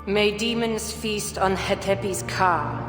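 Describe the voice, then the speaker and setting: A woman's voice: medium pitch, slow, natural voice. A woman acting a stern, vengeful, imperious ancient Egyptian noblewoman in a solemn video game scene. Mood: cold vengeance. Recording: echoing hall with music behind.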